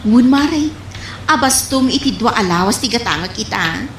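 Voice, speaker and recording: A woman's voice: fairly high pitch, expressive, normal speed, fairly bright tone. A woman with an Ilocano accent playing a boastful, trusting wife with a breezy, dismissive manner in a comedy radio drama. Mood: boastful, amused. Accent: Ilocano, from the Philippines. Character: boastful, trusting married woman showing off savings. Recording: echoey room, faint background noise.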